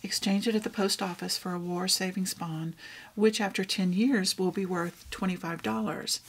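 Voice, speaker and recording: A woman with a Texan accent, showing and reading out old thrift finds in a casual, gentle way. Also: medium pitch, fairly even intonation, moderate pace, husky. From Texas. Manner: reads old items aloud, softly remarking on them. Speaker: vlogger. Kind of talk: casual thrift haul show-and-tell.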